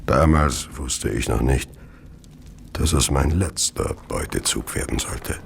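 An actor playing a tormented murderer, narrating his deeds in a brooding, measured, gravelly voice for a radio play. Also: a little hiss or hum, heavily acted. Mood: brooding, obsessive. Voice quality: very deep, gravelly. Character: tormented, murderous male serial killer confessing his deeds. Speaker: narrator-character in an audio drama. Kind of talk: dramatic first-person radio play narration.

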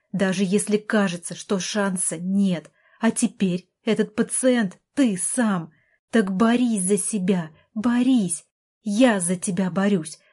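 A woman's voice: mid-range voice, warm tone, breathy edge. Audiobook role: reproachful, desperate adult sister, emphatic and raised, voiced by a female reader. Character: exasperated, pleading adult sister fighting for her brother.

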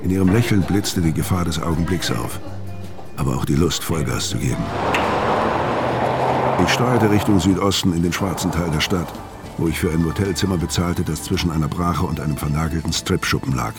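Very deep-voiced, world-weary hard man. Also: a little fast. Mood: brooding narration. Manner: terse, hard-boiled, guarded. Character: gruff world-weary dying male debt collector, hard-boiled. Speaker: narrator and lead actor. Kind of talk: noir crime radio drama, first-person narration and dialogue. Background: music behind the voice.

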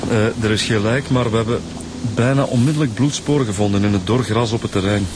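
A man's voice: on the low side, fast talker, noisy background, natural voice. An actor playing an easygoing Flemish male police detective in a radio drama, colloquial and matter-of-fact. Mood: measured.

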